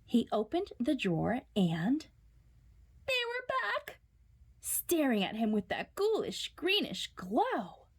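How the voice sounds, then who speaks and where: A woman storyteller reading a children's picture book aloud in a dramatic, suspenseful way, sometimes hushing her words. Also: fairly high pitch, wildly swooping pitch, takes it slowly.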